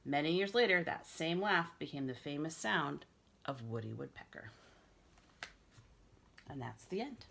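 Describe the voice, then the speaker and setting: Low, creaky, bright-voiced woman reading aloud. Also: measured pace, long pauses. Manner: expressive, dramatic reading for children. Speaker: school librarian. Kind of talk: children's story read-aloud with asides.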